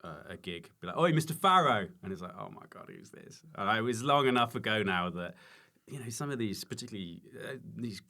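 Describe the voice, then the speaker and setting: Man, very low pitch, casual interview talk, halting, self-correcting, emphatic repeats. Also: animated, sharp tone. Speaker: podcast guest, stand-up comedian and former teacher.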